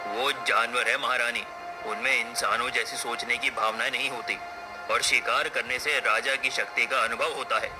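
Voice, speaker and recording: A man's voice: mid-range voice, steady tone, moderate pace, over music, lightly acted, low-quality recording. A man playing a proud king in a cartoon folk tale, with formal, regal phrasing. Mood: dismissive. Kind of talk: cartoon story acting.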